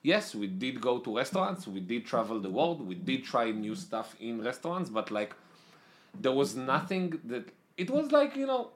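A man's voice: medium pitch, big pitch swings, moderate pace. A young man with an Israeli accent, talking casually as an interview guest, hesitant and full of "like" fillers, with slightly non-native grammar. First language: Italian, then Hebrew. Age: young adult. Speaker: podcast guest.

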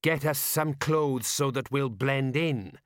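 A man's voice: medium pitch, slow pace, dry recording, studio quality, voice pushed up, natural voice. A man voicing a reluctant older sergeant, blustering and grumbling as he goes. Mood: muttering.

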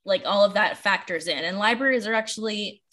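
An American woman talks in a casual, chatty way, like a podcast host among friends. She rambles freely and drops in self-deprecating asides. Her pitch sits in the middle for a woman. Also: a little fast, sharp tone. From Washington, D.C., United States. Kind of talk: casual chat.

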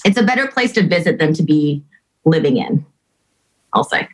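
An American woman, originally from Atlanta, chats as an interview guest. Her voice is a little low, and her talk is casual. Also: sing-song, normal speed, poor audio. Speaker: band lead guitarist, interview guest. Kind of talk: casual chat.

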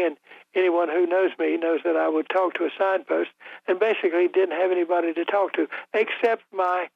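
Gravelly older man hosting call-in radio. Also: sits high, no echo. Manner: halting, many ums and restarts. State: voice slightly rough after illness. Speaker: radio talk show host. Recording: dry, tinny broadcast audio.

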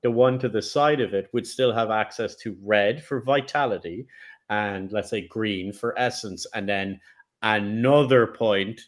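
A man with a lowish voice co-hosts a relaxed podcast chat about game lore. He lays out his points patiently and methodically, giving examples as he goes. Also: unhurried. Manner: explanatory, walks through ideas step by step. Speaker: podcast co-host. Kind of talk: casual discussion of game lore.

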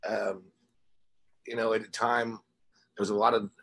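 A man's voice: on the low side, level tone, a little slow, fairly bright tone. An American man from the Ozarks chatting casually as a musician being interviewed, in a rambling, slangy, informal way. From Ozarks, United States. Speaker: interview guest, rock musician.

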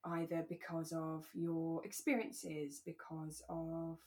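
A woman co-hosting a relaxed conversational podcast, talking in a candid, reflective and careful way. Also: deep voice, slow. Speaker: podcast co-host.

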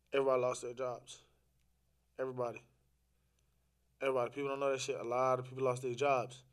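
Casual, slangy man telling a personal story. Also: mid-range voice. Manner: animated retelling with quoted dialogue, repeats phrases for emphasis; informal, slangy, profane. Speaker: podcast guest.

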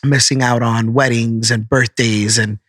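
Guy in his 30s, chef, bright forceful voice, dry close sound, talks proud and earnest. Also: mid-range voice, fairly even intonation, a little slow, dry recording. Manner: earnest storyteller, proud, repeats words. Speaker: chef, interview guest. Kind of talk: casual interview chat.

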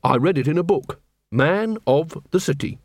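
A man's voice: on the high side, animated, normal speed, no echo, studio quality, pitched above the performer's own voice. A man voicing an earnest, naive young city watchman who talks eagerly and literally.

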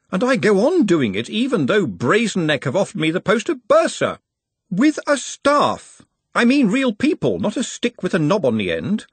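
A man playing an anxious young clerk in a storybook who stammers politely, sitting high and lifting sharply in pitch. The voice is husky and breathy, heard close and dry. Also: no echo, heavily acted. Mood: determined. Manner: hesitant, stammering, deferential. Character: nervous young male academic clerk, dutiful and anxious.

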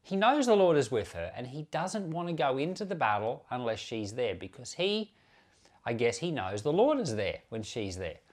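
Male pastor-podcaster, mid-pitched, informal Bible commentary, conversational and unscripted with asides. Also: big pitch swings, moderate pace, a little mellow. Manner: conversational, unscripted, explaining with self-corrections and asides.

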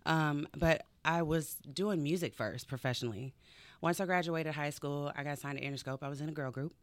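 Upbeat fitness coach in her thirties, low voice, from Atlanta, dry studio sound. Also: fairly quick, no room sound. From Atlanta, Georgia. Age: 30s. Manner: upbeat and playful. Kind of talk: casual interview chat.

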